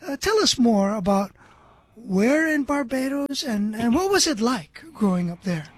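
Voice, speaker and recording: A man's voice: very high-pitched, sing-song, unhurried, slightly rough. A man hosting a religious radio program, making evangelistic appeals and addressing the listener as his friend. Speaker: radio host.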